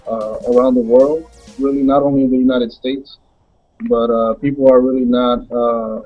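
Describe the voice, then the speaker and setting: Halting man from the Dominican Republic. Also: medium pitch, little pitch movement, dull audio, faint music behind. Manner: halting, many "uh" fillers. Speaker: music producer guest. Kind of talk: casual interview answers.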